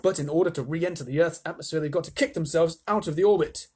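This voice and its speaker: A man's voice: on the high side, fast, a little mellow, slightly rough, voice pushed up. A man voicing an eccentric inventor who explains things patiently and sternly. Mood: calm explaining. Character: calm eccentric grown man, sweet-maker inventor.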